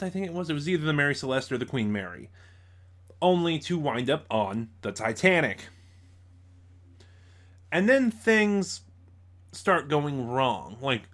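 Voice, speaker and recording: A man giving an informal spoken review as host, in a halting way, stumbling over words and correcting himself. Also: mid-range voice, a bit bright.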